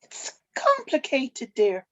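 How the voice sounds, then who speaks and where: A woman playing an older grandmother, gentle but firm and secretive, in a scripted table read. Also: high voice, wildly swooping pitch, slow, muffled recording, heavily acted. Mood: firm, guarded.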